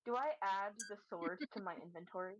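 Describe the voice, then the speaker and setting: A woman's voice: medium pitch, a little fast, no echo, highs cut off. A woman playing a tabletop game, casually narrating what a character does. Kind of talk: casual chat, narrating a character in third person.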